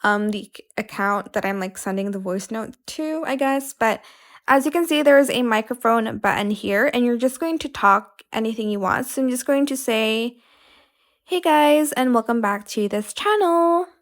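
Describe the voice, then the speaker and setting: A woman with a fairly high voice hosts a casual screen tutorial. She talks informally and somewhat hesitantly, often correcting herself as she explains each step. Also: moderate pace. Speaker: tutorial host. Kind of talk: casual how-to tutorial narration.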